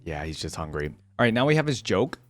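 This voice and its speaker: A man hosting a reaction video, chatting casually to his viewers in an animated way and laughing often. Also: sits low, fast talker, loud music behind the voice. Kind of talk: casual chat reacting to game audio.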